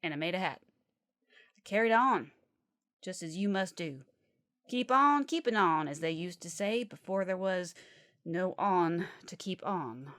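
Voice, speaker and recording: A woman's voice: on the low side, lively intonation, a little slow, long pauses, a bit bright. A woman playing an earnest, folksy female reverend hosting a midnight radio sermon. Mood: folksy, upbeat. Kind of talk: radio show sign-off, sermon. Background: music.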